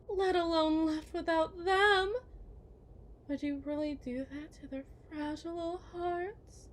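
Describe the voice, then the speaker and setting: A woman playing a genteel, possessive kidnapper wife in a theatrical ASMR roleplay, condescending and syrupy sweet with veiled menace. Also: extremely high pitch. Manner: mannered, condescending, syrupy endearments, veiled threats.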